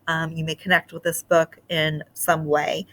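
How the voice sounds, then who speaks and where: A woman teacher reading a picture book aloud to her class in an expressive, sing-song way. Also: deep voice, unhurried, slight background noise. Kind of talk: storybook read-aloud for children.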